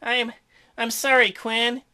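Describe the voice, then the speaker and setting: A man voicing a weakened young merman who speaks in halting, pleading phrases. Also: very high voice, little pitch movement, bright, forceful tone, clear, resonant tone, voice pushed up, full character voice.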